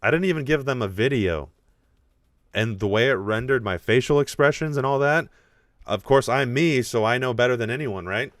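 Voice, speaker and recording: A man with a deep voice hosting a live podcast, talking casually and animatedly, thinking out loud with frequent restarts. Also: moderate pace, no echo, studio quality. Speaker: podcast host.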